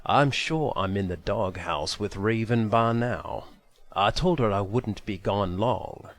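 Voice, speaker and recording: Man playing a slow, low-voiced, blunt friend. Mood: reassuring. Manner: casual, blunt. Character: loyal married male friend, blunt and dismissive. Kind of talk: audio drama acting.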